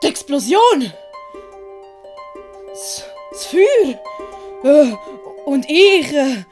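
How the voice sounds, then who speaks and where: Woman doing a tiny stammering guy, high and bouncy, super slow. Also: sing-song, a little mellow, faint music behind, heavily acted. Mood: flustered. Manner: flustered, stammering. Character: confused, hurt little shrunken man.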